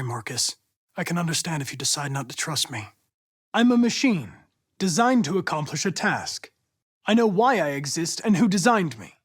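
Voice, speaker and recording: An android cop from a video game, lightish higher voice, polite n analytical, very exact formal wording, controlled but earnest. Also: male voice. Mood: remorseful. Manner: precise, formal, measured. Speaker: android detective.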